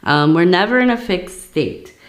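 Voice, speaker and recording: A woman reading tea leaves in a soft-spoken ASMR style, hoarse from losing her voice, rambling with many fillers and a dry mouth. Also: fairly low pitch, expressive, normal speed, echoey room. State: losing her voice, sore throat. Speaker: tea leaf reader.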